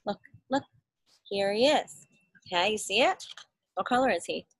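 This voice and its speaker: A woman's voice: medium pitch, animated, moderate pace, husky, no room sound. A woman, around her thirties, reads a children's storybook aloud expressively, explaining things and asking simple questions for a child. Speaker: parent reading a picture book aloud. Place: outdoors in a backyard. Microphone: phone or laptop at arm's length.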